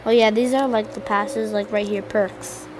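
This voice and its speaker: A woman's voice: medium pitch, steady tone, normal speed, background noise. A young teenage girl with a slight cold, chatting to viewers while playing a video game. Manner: chatty, rambling, addresses viewers directly. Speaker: gaming channel co-host. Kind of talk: casual gameplay commentary. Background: game music.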